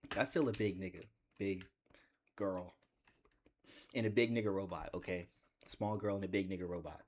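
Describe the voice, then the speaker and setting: A man with a deep voice chatting casually in a gaming vlog, rambling and joking with bursts of excitement. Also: normal speed, a little hiss or hum. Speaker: gaming video creator.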